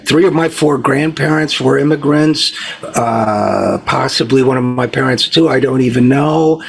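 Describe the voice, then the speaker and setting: A man's voice: mid-range voice, unhurried, creaky. A man with an American accent hosting a talk interview in a conversational, discursive way, with hesitant asides. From United States, Utica, New York. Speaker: talk show host. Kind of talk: conversational interview with long setup question.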